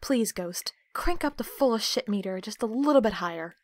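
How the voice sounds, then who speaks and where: A woman's voice: medium pitch, expressive, moderate pace, no echo, natural voice. An actress playing a defiant, foul-mouthed female captive in an audio drama, biting and sarcastic.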